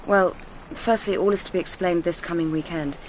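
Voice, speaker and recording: An actress playing an anxious, tender young lover with an English accent, in naturalistic radio drama. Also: female voice, on the low side, fairly even intonation, brisk, slight background noise, close to an everyday voice, low-quality recording. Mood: intimate, subdued.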